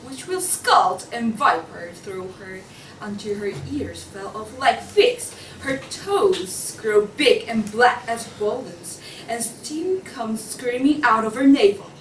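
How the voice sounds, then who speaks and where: Young woman reading narration slowly at a table read, echoey room, far from mic. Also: fairly high pitch, big pitch swings. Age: young adult. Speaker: student narrator. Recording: roomy, distant mic, faint noise.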